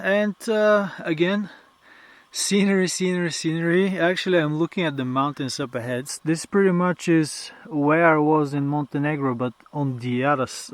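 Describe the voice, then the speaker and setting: Young male hiker vlogger, native Bulgarian speaker; unhurried, filler-heavy talk outdoors on a mountain trail. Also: fairly high pitch, no room sound. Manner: slow, hesitant, many fillers. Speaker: hiking vlogger. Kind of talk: casual trail vlog.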